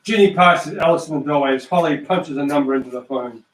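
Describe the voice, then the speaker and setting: A man's voice: a little high, fast. A man narrating a script aloud, reading out stage directions. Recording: echoey room, distant mic.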